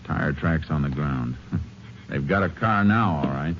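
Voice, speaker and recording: An actor playing a calm, clipped Texas Ranger in a 1950s radio drama, in a dull, noisy old recording. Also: male voice, a bass voice, measured pace. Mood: matter-of-fact. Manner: clipped, matter-of-fact. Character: steady male Texas Ranger lawman, calm and dry.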